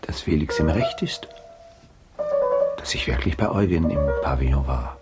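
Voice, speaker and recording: An actor playing a proud, cold, provocative baron in a period radio drama, speaking deliberately. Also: male voice, a bass voice. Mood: cold, confessing. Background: loud music.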